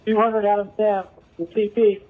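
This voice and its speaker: A man's voice: high voice, flat delivery, moderate pace. Tinny-sounding male police officer on radio. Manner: businesslike, giving instructions. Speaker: police field supervisor. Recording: tinny, noisy two-way radio.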